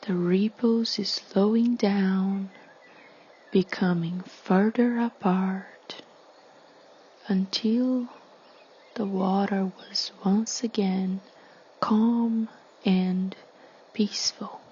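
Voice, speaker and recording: Woman, meditation guide, extremely slow with long pauses, soft mellow tone, hushed and soothing, slightly muffled recording. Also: medium pitch, steady tone, slight background noise.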